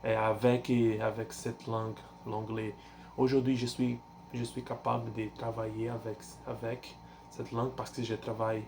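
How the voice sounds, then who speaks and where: A man in his twenties from Brazil, speaking French with a Brazilian Portuguese accent and a Portuguese first language. He talks in a halting, casual way, pausing often to search for words, with frequent fillers and restarts. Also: medium pitch, level tone, gravelly, a little mellow, slight background noise. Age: 20s. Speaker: language learner vlogger. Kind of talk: casual self-introduction.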